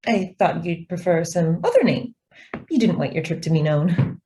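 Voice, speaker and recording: An actress playing a polite young office stenographer, deferential yet evasive and quietly persistent, in a radio drama. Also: female voice, on the low side, animated, moderate pace, lightly acted. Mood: calm, persistent. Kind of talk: stage-style radio drama acting.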